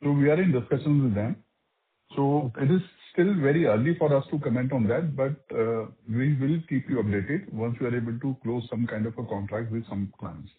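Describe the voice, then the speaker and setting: A thin telephone line carries a man's medium-pitched voice with an Indian accent. He speaks as a company executive, giving answers on an earnings call. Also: normal speed. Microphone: telephone conference line. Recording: narrow, tinny phone conference line.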